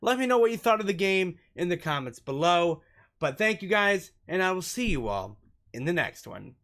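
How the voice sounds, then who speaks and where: A man's voice: high voice, sing-song, moderate pace, fairly bright tone. A man doing let's play narration, reading visual novel text aloud as a gaming host and sometimes stumbling over words.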